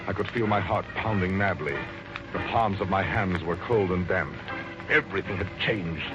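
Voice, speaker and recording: A guilty, brooding widower in a 1940s radio mystery. His voice is low, his speech formal and deliberate. The old recording is dull, with loud music behind it. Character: guilty, scheming widower who poisoned his wife, narrating.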